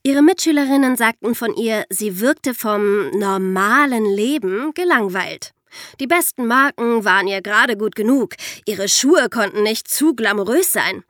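A woman co-hosting a scripted true-crime podcast, narrating in a lively, lightly playful way. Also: fairly high pitch, unhurried, no room sound, studio quality. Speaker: podcast co-host.

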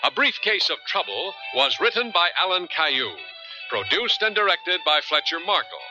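A man's voice: high-pitched, sing-song, normal speed. A man reading scripted credits as a 1970s radio announcer. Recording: dull vintage radio, music behind.

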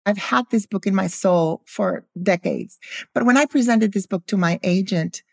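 A mature woman from Guatemala tells stories as an interview guest. Her mid-pitched voice has noticeable creak, and the recording is close and dry. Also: normal speed, no echo. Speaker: guest, food historian and cookbook author. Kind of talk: interview storytelling.

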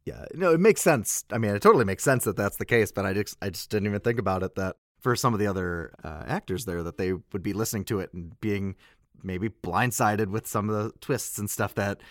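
A man with a deep voice chats casually as a guest actor. The sound is very dry, with hardly any room around his low, conversational voice. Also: brisk, dry recording. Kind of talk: casual chat.

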